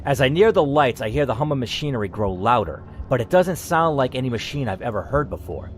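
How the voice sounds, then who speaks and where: A man's voice: medium pitch, sing-song, fairly quick, a little hiss or hum. A young man hosting a gaming channel, reading an interactive story game aloud and breaking off into casual, swearing asides.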